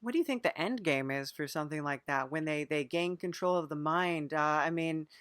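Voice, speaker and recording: A podcast interviewer with a mid-pitched voice, recorded close and dry. The questions come earnestly and haltingly, with murmured acknowledgements, as part of an improvised mock interview. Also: a bit bright, clear, resonant tone, no room sound.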